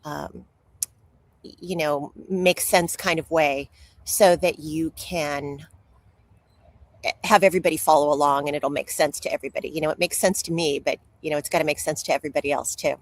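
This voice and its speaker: A woman with a slightly low-pitched voice answers as an interview guest. She tells personal stories candidly and openly, like a writer happy to share everything. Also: fairly even intonation, moderate pace. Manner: candid, open oversharer.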